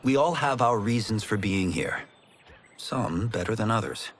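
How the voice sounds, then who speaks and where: A man's voice: on the low side, animated, measured pace, slight background noise. An actor playing a humble, determined young farm boy fighter in a video game, sincere and formal. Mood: earnest. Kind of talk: video game cinematic acting. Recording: loud music behind the voice.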